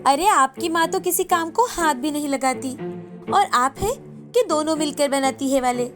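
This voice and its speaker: A very high-pitched, sly young wife from a cartoon moral tale, played by a woman. She is lazy and greedy, and speaks in a nagging, sarcastic way. Music plays behind her. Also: normal speed, close to an everyday voice. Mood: indignant. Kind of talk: animated moral story dialogue.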